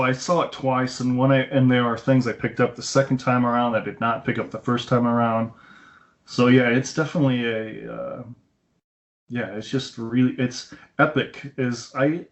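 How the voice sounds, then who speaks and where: A man's voice: medium pitch, fairly even intonation, normal speed. A man casually chatting as a podcast host, talking like an enthusiastic movie fan over an internet call. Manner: enthusiastic fan, acts out quoted lines. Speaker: film review podcast host. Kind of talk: casual chat, recounting a film's plot. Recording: dull lo-fi internet call audio.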